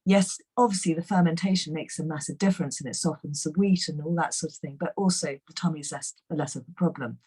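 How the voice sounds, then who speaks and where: Hoarse, low-voiced woman. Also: brisk, few pauses, warm tone, poor audio. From England. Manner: enthusiastic, chatty, frequent fillers. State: croaky, hoarse voice. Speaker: guest nutrition expert. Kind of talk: webinar talk.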